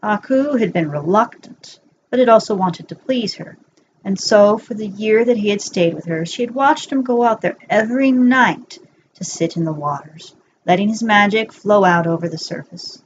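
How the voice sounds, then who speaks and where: A woman's voice: mid-range voice, expressive, moderate pace. A woman narrating fan fiction as a bedtime story, reading from a script in an amateur recording. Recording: dull lo-fi home recording, faint noise.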